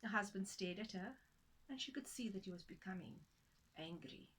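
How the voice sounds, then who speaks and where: A woman's voice: mid-range voice, normal speed, fairly bright tone, slight background noise. A woman storyteller narrating a folktale for children, dramatic and playful in her delivery.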